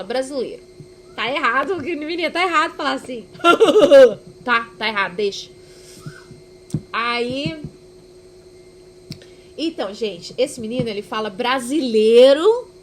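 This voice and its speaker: A woman's voice: a little high, slight background noise. A Brazilian woman with a Brazilian accent, chatting casually in a rambling devotional vlog, correcting herself and laughing at herself. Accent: Brazilian Portuguese.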